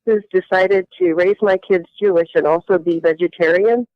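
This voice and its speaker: A woman's voice: fairly low pitch, fairly even intonation, moderate pace, no echo. A middle-aged woman from Nebraska, a podcast guest telling her life story in a reflective, rambling way with wry asides. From Lincoln, Nebraska, US Midwest. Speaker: interview guest. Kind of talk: candid personal storytelling. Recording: dull lo-fi audio.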